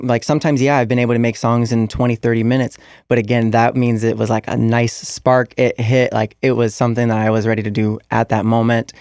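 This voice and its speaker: A man's voice: medium pitch, a little mellow, clear, resonant tone, dry recording, studio quality. A young man, a musician guest in an interview, answering casually with many fillers and restarts.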